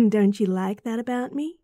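A woman with an Australian accent playing a caring, teasing young student best friend in a roleplay audio, soft and breathy. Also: on the high side, normal speed, dry recording, clean studio sound, lightly acted. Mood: playful. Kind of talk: roleplay audio acting, intimate and softly spoken.

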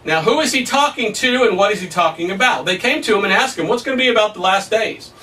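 Man, 30s, preacher, whiteboard Bible study, high voice, hoarse, emphatic, recorded from a distance. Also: quick pace. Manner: emphatic, rising to excited peaks, laughs at his own points. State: somewhat hoarse, losing his voice. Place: small room in front of a whiteboard. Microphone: camera at a distance.

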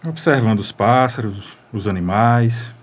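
A man playing a gentle, wistful romantic in a radio soap opera. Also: lowish voice, unhurried, slight background noise, lightly acted. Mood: tender. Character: gentle, wistful, lovelorn man being courted.

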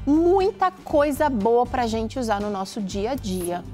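A Brazilian woman in her thirties presents a cookery programme in a high voice. She is chatty and enthusiastic, selling things casually and straight to the viewer. Faint music plays behind her. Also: very animated, unhurried, a little mellow. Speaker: TV cooking show host. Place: TV kitchen studio.

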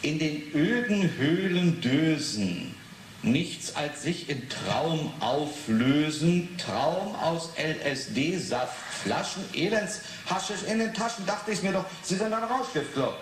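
Curt police inspector, roomy sound. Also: male voice, fairly high pitch, lively intonation. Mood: suspicious, reading aloud. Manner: brusque, probing, slow deliberate reading. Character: curt, suspicious male police inspector questioning a witness. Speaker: police investigator. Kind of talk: 1980s German radio play acting. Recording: roomy, faintly noisy 1980s radio drama.

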